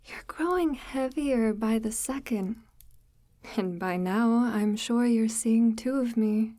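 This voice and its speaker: Woman as a slow, soft-whispering forest witch. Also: fairly high pitch, no room sound. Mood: mocking, condescending. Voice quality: soft, breathy, half-whispered. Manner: formal, measured, deliberate, gently patronizing. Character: sinister forest witch, calmly menacing, devout, condescendingly tender. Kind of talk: ASMR audio roleplay, slow intimate monologue.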